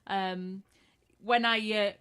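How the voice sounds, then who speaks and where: A woman's voice: mid-range voice, fairly even intonation, slow pace, a bit bright, clean tone. Wry English woman co-host. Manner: teasing, wry. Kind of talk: casual interview chat.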